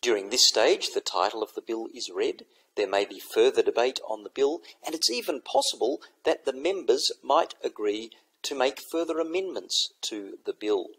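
Gravelly-voiced man lecturing slowly. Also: medium pitch, very animated, a bit bright, poor audio. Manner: measured, methodical explanation with classroom instructions. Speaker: teacher. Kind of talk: recorded lecture over slides.

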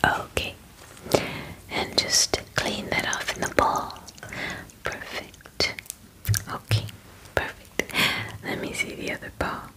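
A woman in her twenties doing a whispered ASMR personal-attention roleplay, gentle, soothing and breathy, close to the microphone. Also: takes it slowly, pauses often, low-quality recording. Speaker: ASMR roleplayer playing a werewolf spa groomer. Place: small indoor room. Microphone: close microphone, near the camera.

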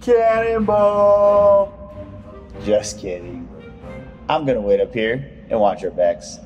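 A man's voice: medium pitch, big pitch swings, slow pace, darkish tone, muffled recording. An actor playing a joking, easygoing male adventurer in a fantasy audio drama, casual in manner. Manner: jokey, casual. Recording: long hall reverb, faint music.

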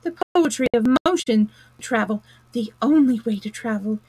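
A woman's voice: on the high side, unhurried, low-quality recording. A woman playing a vain, dreamy male storybook toad who speaks in a rapturous, grandiose, exclamatory manner. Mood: blissful, entranced.

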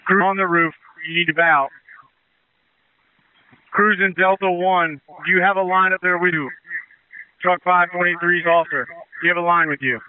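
A man's voice: high voice, steady tone, moderate pace, long pauses, clean tone. Commanding male fire chief on tinny radio. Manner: urgent, commanding. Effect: two-way radio. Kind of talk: terse fireground radio commands. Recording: tinny band-limited two-way radio traffic.

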